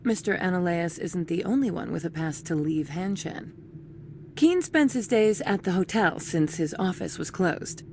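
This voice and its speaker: A woman's voice: on the low side, animated, moderate pace, a little mellow, a little hiss or hum. A woman playing a polite, knowing corporate employee in a video game, composed and discreet in manner. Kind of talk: video game dialogue acting.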